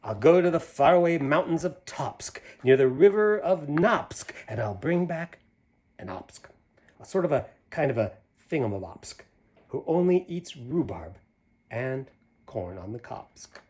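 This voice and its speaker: Animated man reading a rhyming storybook. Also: a little high, a little slow, a little hiss or hum. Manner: playful, theatrical, with conversational asides to listeners. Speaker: storybook reader. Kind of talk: animated read-aloud of a rhyming children's storybook with chatty asides.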